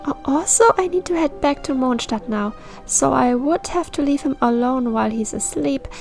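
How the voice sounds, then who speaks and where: A timid, easily flustered young girl from a fantasy story. Her voice sits very high, and she stammers and rambles earnestly, quick to get huffy. Music plays behind her. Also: creaky, darkish tone. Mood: worried, rambling.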